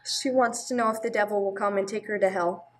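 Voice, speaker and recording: A woman playing a gentle church sister who translates for a child in an amateur radio play, on a noisy recording. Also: mid-range voice, fairly even intonation, fairly quick, close to an everyday voice. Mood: gentle, patient.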